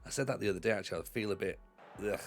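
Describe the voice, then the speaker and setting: A man in a chatty live stream, thinking aloud as he follows a football match and urging his players on. His voice sits a little low and sounds stuffy from a cold. Loud music plays behind him. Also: very fast. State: congested with a cold. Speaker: game streamer. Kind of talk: live gameplay commentary.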